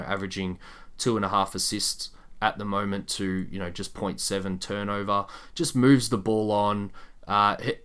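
Low, bright-voiced man talking basketball. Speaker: sports podcast host. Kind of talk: casual basketball discussion.

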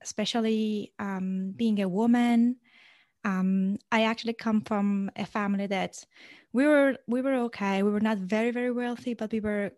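A young woman from Iran, a guest in an interview, speaking natively and answering in a halting way with many fillers and restarts. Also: mid-range voice, fairly even intonation, darkish tone, no echo, studio quality. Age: young adult.

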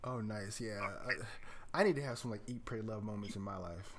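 A man's voice: fairly low pitch, brisk, a crowd in the background. A man co-hosting a podcast, chatting casually with enthusiasm and emphasis as he gives recommendations. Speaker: podcast co-host.

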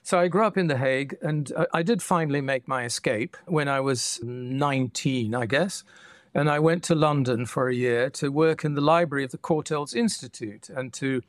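An older man from the Netherlands, a guest historian, answering interview questions in a measured, reflective way. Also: medium pitch, no room sound, clean studio sound. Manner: measured, thoughtful, with frequent restarts.

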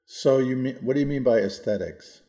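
An American man in his sixties in a reflective, conversational policy discussion, measured and often qualifying his own points. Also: medium pitch, warm tone. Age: 60s. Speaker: podcast co-host.

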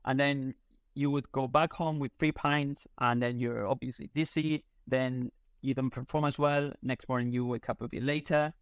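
Spanish guy w spanish accent, clean smooth voice, casual interview chat, hunts for words a bit, dry sound. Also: medium pitch, steady tone, normal speed, no echo. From Spain. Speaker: interview guest, content creator. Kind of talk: casual conversation.

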